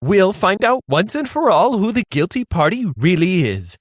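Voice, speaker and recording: A man's voice: sits high, sing-song, moderate pace, no room sound, studio quality. A man playing a fussy, prim little gourmet judge in a comedy radio play, precise and theatrical. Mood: exasperated.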